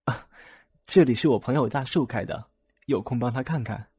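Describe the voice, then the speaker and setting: A man's voice: mid-range voice, normal speed, darkish tone, husky. A man acting a kind, easygoing young student in an audio drama, casual and a little bashful.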